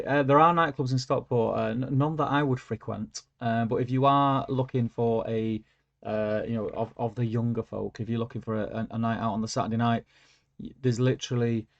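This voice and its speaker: A man hosting a casual livestream, reading out viewer comments with lots of fillers and humming to himself. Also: medium pitch. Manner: rambling, filler-heavy, hums while scrolling. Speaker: livestream host. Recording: lo-fi stream audio.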